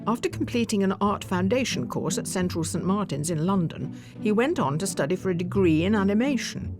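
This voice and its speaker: A woman's voice: medium pitch, expressive, a little fast, over music. A woman reading a scripted voice-over introducing a contestant. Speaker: narrator. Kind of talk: scripted narration.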